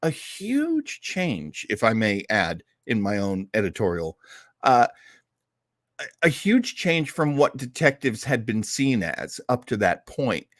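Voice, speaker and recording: A man hosting an old-time radio show casually, in a rambling, hesitant, animated way. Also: mid-range voice, slow, dry recording, studio quality. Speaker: show host.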